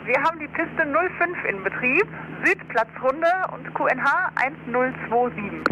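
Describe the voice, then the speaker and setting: A woman's voice: high-pitched, lively intonation, moderate pace. A woman working as an airfield radio operator, giving flight information over aircraft radio. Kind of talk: aviation radio information calls. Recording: noisy crackly aircraft radio transmission.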